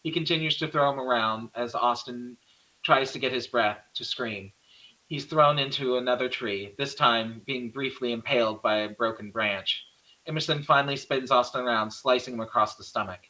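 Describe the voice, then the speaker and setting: Bright-voiced man reading stage directions. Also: mid-range voice, moderate pace, rough recording. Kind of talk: scripted narration at a table read.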